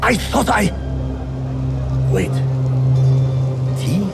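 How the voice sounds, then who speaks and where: A man's voice: high voice, animated, very slow, vocal fry, over loud music, heavily acted. An actor playing a haughty, greedy male merchant who talks in a contemptuous, irritable way. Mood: grudging.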